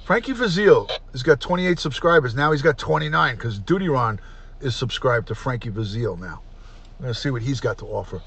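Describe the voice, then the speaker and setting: A middle-aged man hosting a casual livestream, chatty and repetitive, often clearing his throat. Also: medium pitch, animated, a little fast, fairly bright tone. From New York. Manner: chatty, repeats himself, frequent throat clearing. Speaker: livestream host, retired detective. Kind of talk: casual livestream chat, reading comments aloud.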